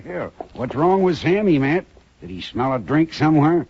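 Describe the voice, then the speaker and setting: An actor playing a hearty, sociable, folksy cattle buyer in a 1950s radio western. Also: male voice, fairly high pitch, very animated, normal speed, background noise. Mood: jovial. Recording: dull 1950s radio recording.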